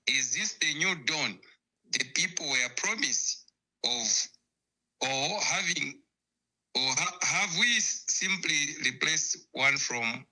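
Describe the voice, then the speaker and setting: A man's voice: mid-range voice, pushed and bright, poor audio. A man delivering formal political rhetoric in a declamatory way, posing pointed questions.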